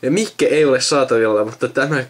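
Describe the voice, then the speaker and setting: Rambling young man chatting casually. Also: medium pitch. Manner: rambling, trailing off mid-sentence. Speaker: video host recording himself with a friend. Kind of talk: casual chat while thinking aloud. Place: indoors at a computer.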